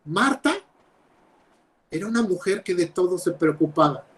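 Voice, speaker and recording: A young man preaching a sermon over a video call, emphatic, with loud stresses and quiet drops. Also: high voice, expressive, normal speed. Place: home, speaking over videoconference.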